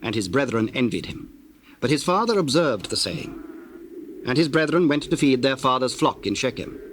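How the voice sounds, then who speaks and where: Solemn guy narrating the bible, mid pitch, measured and formal, faint tape noise. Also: sing-song. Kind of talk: formal scripted scripture narration.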